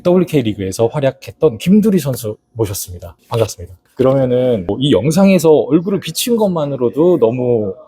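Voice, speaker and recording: Middle-aged male host, mellow tone, lively pitch, rambling, filler-heavy interviewer. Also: brisk, few pauses, slightly rough. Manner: rambling, lots of filler words and restarts. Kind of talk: casual interview chat.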